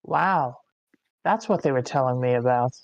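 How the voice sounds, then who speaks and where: Halting, dreamy infected widower played by a man. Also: medium pitch, dull audio, lightly acted. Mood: wistful. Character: infected widower, dreamy, clinging to hope, weakening. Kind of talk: screenplay table read.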